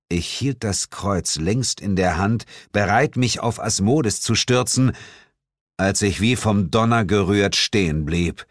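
A man narrating an audiobook in the first person with a dramatic, expressive reading. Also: low-pitched, no echo, clean studio sound. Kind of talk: scripted narration.

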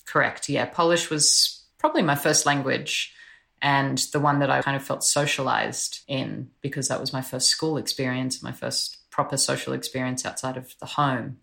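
A woman's voice: a bass voice, vocal fry, fairly bright tone. A woman, a drummer talking thoughtfully in an interview. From Australia. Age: 30s. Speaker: drummer, guest. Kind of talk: relaxed reflective conversation.

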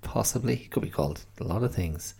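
A man's voice: on the low side, expressive, quick pace, gravelly, warm tone, husky. An Irish man hosting his own podcast, chatting casually and rambling with many self-corrections, tired and a little stuffy-nosed from hay fever. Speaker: podcast host. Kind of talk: casual solo podcast chat.

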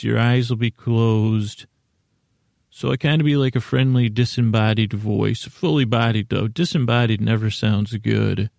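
Creaky-voiced man rambling through a bedtime monologue. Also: medium pitch, a little mellow. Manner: meandering, halting, self-interrupting with tangents. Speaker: podcast host.

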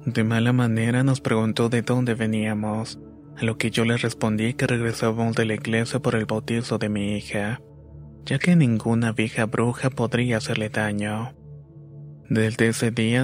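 A man reading a scripted horror story as a narrator, in a measured, deliberate way. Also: mid-range voice, level tone. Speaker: narrator of listeners' paranormal stories. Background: background music.

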